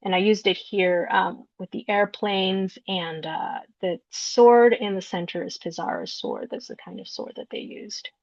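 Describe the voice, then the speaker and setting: A woman from Peru, an artist, walking through slides of her work in an explanatory, unhurried way, searching for words. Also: mid-range voice, steady tone, gravelly, no echo. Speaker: visual artist. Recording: dull lo-fi recording, dry.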